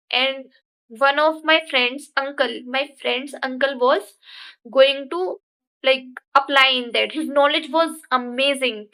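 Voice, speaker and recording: A woman's voice: high-pitched, pushed and bright, rough recording. A young woman with an Indian English accent, a native Hindi speaker, giving an earnest motivational talk to English learners and repeating her points in simple phrasing.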